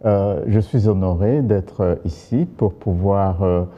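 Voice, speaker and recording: A man with a Kinyarwanda accent, a native Kinyarwanda speaker, explaining deliberately as a guest expert in a studio interview. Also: low voice, in a large hall, highs cut off.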